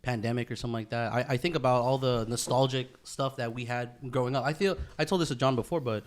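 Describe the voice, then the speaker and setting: A man's voice: mid-range voice, fairly even intonation, quick pace. A man co-hosting a podcast in casual, profane conversation, full of slang like "bro" and "dude.". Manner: slangy, profane, says 'bro' and 'dude' often. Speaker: podcast co-host. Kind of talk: casual group chat.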